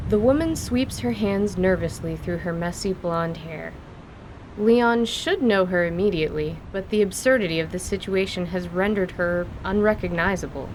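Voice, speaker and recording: Low-pitched woman narrating a story. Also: noisy background.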